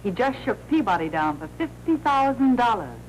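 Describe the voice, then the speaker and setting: A woman's voice: fairly high pitch, sing-song, moderate pace. An actress playing a breezy, wisecracking young American career woman in a 1940s crime film, on a noisy old soundtrack. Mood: brisk, alert. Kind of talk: 1940s film noir acting.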